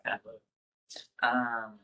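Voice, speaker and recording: A man's voice: medium pitch, little pitch movement, drawn-out words, sharp tone. A man acting as interview host, putting prepared questions to a guest.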